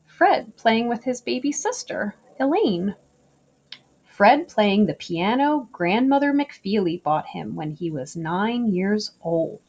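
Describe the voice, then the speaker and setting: A woman reads a picture book aloud for children at an unhurried, slow pace. Her pitch moves up and down freely, and she phrases each sentence deliberately, leaning on key words in an expressive storytelling style. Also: husky, muffled recording.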